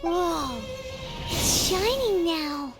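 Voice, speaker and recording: A little childlike fairy sidekick from a video game. The squeaky, extremely high voice swoops around excitably and anxiously, drawing words out slowly. Loud music plays underneath. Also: female voice, full character voice. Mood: excited. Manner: exclamatory, speaks of herself in third person.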